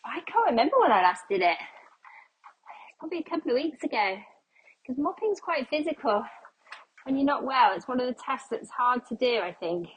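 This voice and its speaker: A woman's voice: high-pitched, big pitch swings, moderate pace, long pauses, a little hiss or hum, low-quality recording. A middle-aged woman, chatting casually while mopping her kitchen, a little out of breath and slightly congested as she loses her voice. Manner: chatty, self-deprecating, thinks aloud. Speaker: cleaning vlogger. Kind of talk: casual chat while cleaning.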